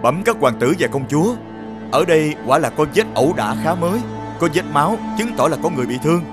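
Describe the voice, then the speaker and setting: A man voicing an alert, dutiful royal guard in an animated fairy tale. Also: a little high, fast talker, over music, lightly acted. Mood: urgent, dutiful.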